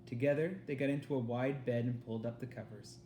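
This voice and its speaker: A man's voice: mid-range voice, steady tone, fast talker, warm tone. A man reading a storybook aloud as a teacher does for students, recorded in an echoey room. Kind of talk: storybook read-aloud narration. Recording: echoey room with faint noise.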